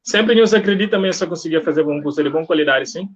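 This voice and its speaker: Male interviewer, Cape Verdean accent, higher-set voice, encouraging and conversational, slightly muffled recording. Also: quick pace. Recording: dull lo-fi audio.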